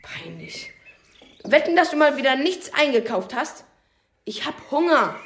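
A woman as high-voiced exasperated teenager. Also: big pitch swings, unhurried, a little hiss or hum, exaggerated voice. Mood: annoyed, reproachful. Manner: sullen, reproachful. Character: exasperated, blunt teenage child, protective of mother. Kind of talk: German radio drama acting.

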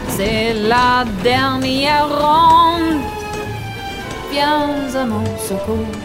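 A chanson singer with a high voice. She draws out a pleading love song very slowly over loud music. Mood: yearning. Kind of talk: French chanson singing.

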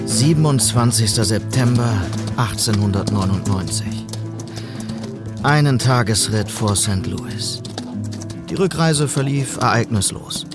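A weary western adventurer narrating his journal. The lowish, creaky voice moves slowly in terse, reflective phrases, with music behind it. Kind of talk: radio drama journal narration.

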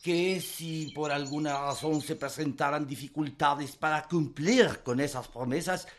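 A man acts out a polite French journalist envoy in a radio play. His fairly high voice moves very slowly, choosing words with cautious, deferential care as he tries to persuade. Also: lightly acted. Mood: pleading, deferential. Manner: measured, deliberate persuasion. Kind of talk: radio drama acting.

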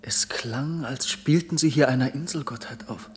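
An actor playing an awestruck, grieving young shipwrecked prince, declaiming reverent lofty verse in a classic radio drama. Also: male voice, mid-range voice, moderate pace, long reverb tail, slight background noise, natural voice. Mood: wondering, mournful.

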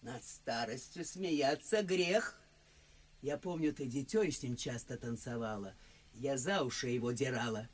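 Grumpy, husky old noblewoman. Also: very deep voice, a little slow, heavily acted. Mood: grumbling. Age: older. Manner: blunt, grumbling, theatrical. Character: grumpy, blunt old aristocratic lady. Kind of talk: classic stage comedy acting for radio.

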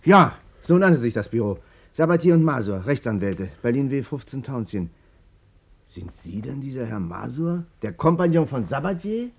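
Chatty, husky, lively Berlin storyteller. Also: male voice, mid-range voice, normal speed. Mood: guarded, curious. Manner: colloquial, animated, self-justifying. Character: chatty, cocky Berlin businessman telling his amorous past. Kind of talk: 1950s German radio drama, storytelling monologue.

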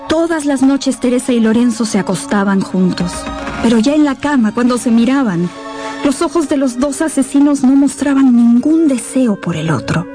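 A woman tells a dramatic story as a radio narrator. Her voice is high, and her delivery is measured and suspenseful. Music plays clearly behind her. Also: lively intonation, warm tone.

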